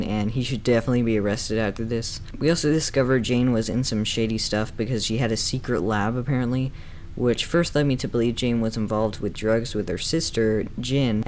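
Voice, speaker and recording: A man's voice: medium pitch, a little mellow. A man giving semi-scripted review commentary in an opinionated, enthusiastic fan's way. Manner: opinionated, enthusiastic fan talk. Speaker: reviewer and narrator of a video essay. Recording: clearly noisy background.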